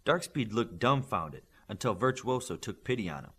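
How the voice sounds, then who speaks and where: A man's voice: fairly low pitch, expressive, moderate pace, fairly bright tone, husky. A man reading a scripted story aloud as an audiobook narrator. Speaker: audiobook reader. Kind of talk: scripted fiction narration with acted dialogue.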